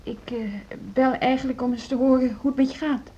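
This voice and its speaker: Radio play casting: earnest woman director, Netherlands Dutch accent, very breathy husky voice, mellow, muffled recording. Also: high-pitched, steady tone, a little fast. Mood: guarded, hesitant. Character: Hanneke, earnest, tense music school director, adult woman. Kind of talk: naturalistic Dutch radio drama acting.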